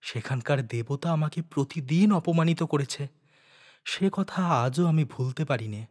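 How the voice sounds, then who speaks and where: A man voicing an earnest, resolute young woman in a storybook reading, soft and solemn. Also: a little high, lively intonation, unhurried, big-room sound. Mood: earnest, pleading. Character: earnest, devoted young woman, once outcast, now resolute.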